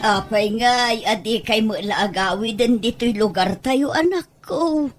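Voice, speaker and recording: A woman's voice: fairly high pitch, lively intonation, measured pace, faint music behind. A woman playing a stubborn, doting, plaintive grandmother with an Ilocano Filipino accent in an amateur audio drama. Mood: pleading.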